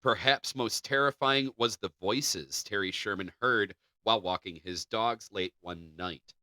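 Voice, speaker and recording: A man's voice: fairly low pitch, bright, forceful tone, no echo, rough recording. A man co-hosting a podcast, moving between reading scripted narration and informal chat. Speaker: podcast co-host.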